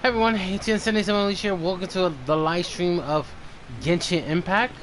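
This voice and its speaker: A man's voice: high voice, steady tone, fairly quick, fairly bright tone, a little hiss or hum. A man hosting a casual game live stream, thinking aloud in a loose, rambling way.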